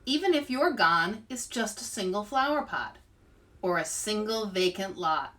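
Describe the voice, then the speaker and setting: Woman reading as a kindly, bright-voiced woman rabbi. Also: medium pitch, sing-song, recorded from a distance. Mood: earnest. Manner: measured, earnest, encouraging preaching. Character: kindly woman rabbi giving an earnest storybook sermon.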